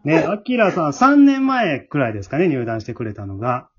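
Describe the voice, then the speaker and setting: A man's voice: fairly high pitch, huge pitch swings, normal speed, muffled recording, crowd noise. A man with a Kansai accent, hosting as leader of a theatre troupe in a casual interview chat.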